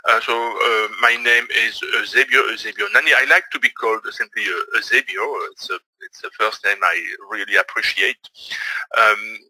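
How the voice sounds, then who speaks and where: A man from Belgium gives a live webinar in English, which is not his first language. He talks hesitantly, with frequent fillers and restarts. His voice is strikingly bright and forceful, and it sounds dry and close to the mic. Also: fairly low pitch, steady tone, normal speed, no echo, low-quality recording. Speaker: webinar presenter, trading instructor.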